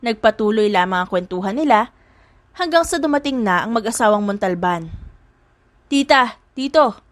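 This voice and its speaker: A woman's voice: mid-range voice, animated, a little fast, dry recording. A woman with a Filipino accent reading a scripted drama story as an audiobook narrator.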